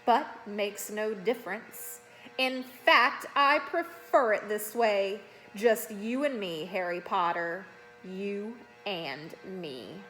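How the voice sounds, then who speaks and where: A woman's voice: mid-range voice, slow, a bit bright, faint music behind, poor audio. Lady reading as a nasty teen boy villain from a fantasy storybook, cold n gloating, really smug. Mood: quiet menace. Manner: taunting, cold, self-satisfied. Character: menacing, gloating teenage male villain. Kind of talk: children's fantasy storybook read aloud.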